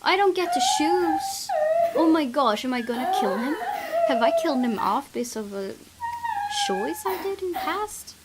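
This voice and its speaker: A woman's voice: high-pitched, normal speed, heavy background noise. A woman hosting a gaming video, reading a visual novel aloud dramatically and reacting with open emotion. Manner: expressive, exclaiming at the game.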